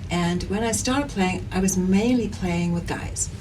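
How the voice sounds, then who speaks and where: A woman's voice: on the low side, measured pace. A middle-aged woman from South Africa with a South African accent, talking earnestly and energetically as a guest in an interview. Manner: energetic, earnest, repeats herself while thinking. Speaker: guest, padel league founder. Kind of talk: conversational interview. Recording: distant mic with clear background noise.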